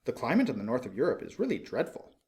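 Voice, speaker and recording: A man's voice: medium pitch, wildly swooping pitch, fast, darkish tone. A man voicing a small, vain, fussy swallow in a storybook, blunt and sure of himself. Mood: peevish. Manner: blunt, self-assured, fussy. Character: small male swallow, vain, brisk, fickle but polite. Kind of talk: storybook character voice.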